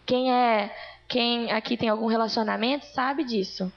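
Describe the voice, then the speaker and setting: Gravelly woman giving testimony. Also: on the high side, steady tone, normal speed, lo-fi sound. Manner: earnest storytelling, addressing congregation. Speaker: church speaker. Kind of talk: testimony sermon. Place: church.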